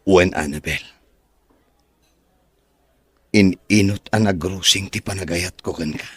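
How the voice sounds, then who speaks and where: Casting: man playing a courteous Filipino gentleman farmer, low-pitched, earnest, recorded very dry. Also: normal speed, slightly rough, no room sound. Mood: earnest, tender. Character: earnest, courteous farm owner in love. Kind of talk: dialogue in an Ilocano serial drama.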